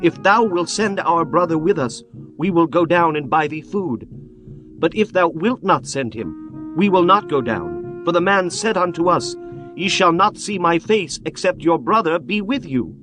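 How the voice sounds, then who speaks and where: A man's voice: fairly high pitch, animated, measured pace, fairly bright tone. A man voicing Judah, a resolute and persuasive brother, in a dramatized scripture reading. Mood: earnest. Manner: earnest, persuasive. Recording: music bed behind voice.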